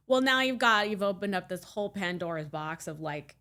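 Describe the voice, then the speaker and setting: A woman's voice: mid-range voice, animated, fairly quick, sharp tone. A woman co-hosting a podcast in a casual chat, with a wry, dry sense of humor. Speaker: podcast co-host.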